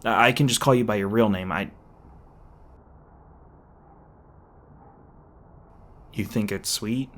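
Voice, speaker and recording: A man playing an edgy, sarcastic but secretly soft-hearted monster hunter in an ASMR roleplay, prone to stammering when flustered. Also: lowish voice, fairly quick, a little hiss or hum, close to an everyday voice. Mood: shy, tender.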